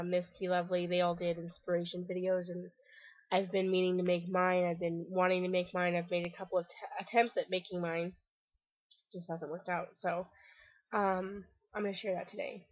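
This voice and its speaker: A woman's voice: fairly low pitch, no room sound, highs cut off. Stuffy-nosed woman vlogging from her back deck. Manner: chatty and rambling, frequent ums and restarts. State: congested, stuffy nose. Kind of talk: casual video diary update. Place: covered back deck outdoors.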